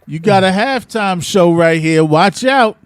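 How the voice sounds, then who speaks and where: Excitable man hosting a music show. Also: high voice, a little slow, smooth, no echo, clean studio sound. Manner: excitable, hyping up the guest. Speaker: show host. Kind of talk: casual chat.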